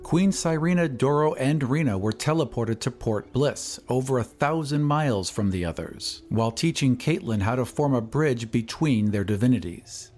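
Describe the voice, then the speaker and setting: A man's voice: mid-range voice, lively intonation, normal speed, hardly any pauses, a little mellow, soft background music. A man narrating a fantasy recap in a scripted, dramatic storytelling style.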